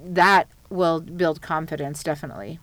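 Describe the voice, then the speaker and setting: A woman's voice: deep voice, normal speed. A middle-aged woman who grew up speaking Iraqi Arabic, chatting enthusiastically as a guest about teaching. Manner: enthusiastic, animated when quoting students. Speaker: guest, Arabic program director. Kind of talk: casual interview chat.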